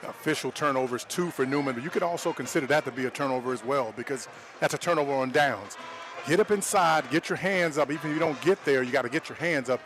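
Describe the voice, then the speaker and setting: A man's voice: medium pitch, fast. An American man giving live color commentary on a football game in a conversational, explanatory way. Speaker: color analyst, former player.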